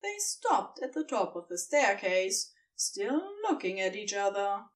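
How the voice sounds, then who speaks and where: A woman narrating scripted fan fiction as an expressive storyteller, recorded slightly away from the microphone. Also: mid-range voice, a little slow, recorded from a distance.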